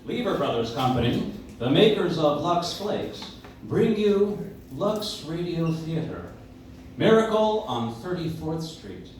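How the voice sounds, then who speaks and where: A man's voice: a little high, sing-song, takes it slowly, darkish tone. A man playing a ceremonious 1940s radio show announcer, live on a small stage with a distant echoey sound. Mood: grand, ceremonious. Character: grand old-time radio show announcer. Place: coffee house stage, recorded from audience. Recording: echoey live stage, far mic, faint noise.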